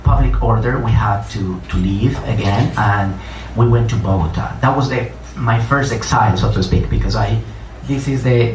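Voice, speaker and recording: A middle-aged man with a Colombian Spanish accent speaks in a fairly low voice at a public reading. His delivery is halting and hesitant. He sounds far from the microphone in an echoey room. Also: darkish tone. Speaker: writer and poet giving a reading. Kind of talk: public reading with personal introduction. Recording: roomy, distant mic, clear noise.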